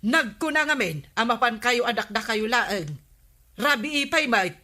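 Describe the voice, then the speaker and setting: A woman's voice: on the high side, expressive, unhurried, bright, forceful tone. A woman playing the scolding, exasperated wife of a village captain in an Ilocano radio comedy drama, with an Ilocano accent. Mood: scolding concern. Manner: nagging, questioning.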